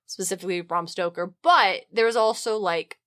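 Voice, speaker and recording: A woman's voice: medium pitch, moderate pace, clear, resonant tone. A woman co-hosting a podcast, chatting casually and jokily about mythology and pop culture, swearing now and then. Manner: animated, jokey, swears casually. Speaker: podcast co-host.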